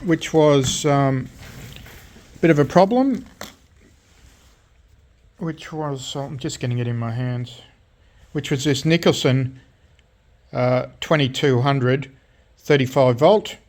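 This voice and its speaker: A man shows off a restored CB radio in a casual workshop walkthrough. He speaks at an ordinary middle pitch, stopping often for ums and restarts as he explains each fix in plain technical terms. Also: darkish tone. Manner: halting, many ums and self-corrections. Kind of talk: casual workshop show-and-tell.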